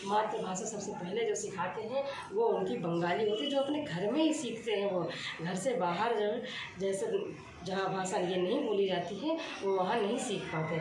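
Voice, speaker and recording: A woman's voice: mid-range voice, moderate pace. A woman teaching a grammar lesson, asking questions and answering them herself, repeating the key points. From India. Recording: echoey room, far from the mic, steady noise.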